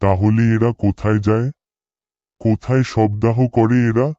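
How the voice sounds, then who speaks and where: A man's voice: fairly low pitch, level tone, measured pace, warm tone, no room sound, dull audio, lightly acted. A man voicing a dutiful, earnest village cremation-ground worker in a horror cartoon. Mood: puzzled, uneasy. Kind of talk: cartoon dialogue and spoken thoughts.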